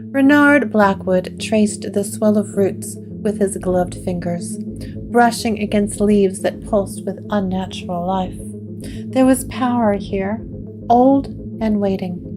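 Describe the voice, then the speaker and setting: A woman narrates a gothic audio drama at an unhurried pace. Her delivery is deliberate and measured, with her voice sitting in a middle range. Guitar music plays clearly underneath her. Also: mid-range voice. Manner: slow, deliberate storytelling.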